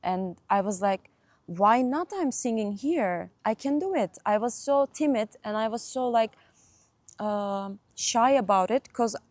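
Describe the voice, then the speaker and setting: A young woman from Turkey, whose first language is Turkish, chatting as a guest musician in an interview, laughing often and pausing with fillers. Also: fairly high pitch, lively intonation, darkish tone, no echo. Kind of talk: casual interview chat.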